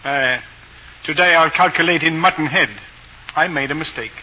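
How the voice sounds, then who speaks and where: Casting: sardonic butcher patriarch, forceful bright delivery, dull hissy vintage radio sound. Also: male voice, a little high, normal speed. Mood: dry, rueful. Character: stern, sceptical village butcher and father. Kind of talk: 1940s radio acting. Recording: dull old radio recording with hiss.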